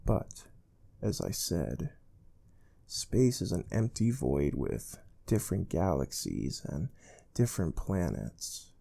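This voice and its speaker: A man playing a calm, stern space research interviewer in a soft-spoken ASMR role play, measured and formal. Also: sits low, vocal fry, exaggerated voice. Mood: calm, instructive.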